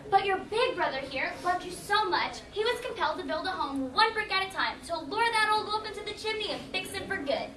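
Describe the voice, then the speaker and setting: A peppy, very high-voiced fairy-tale awards hostess acted by a woman. She presents in announcer style on stage, far from the mic with audience noise. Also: expressive, a little fast, hardly any pauses, roomy sound, crowd noise. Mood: presentational. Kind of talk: youth stage comedy acting.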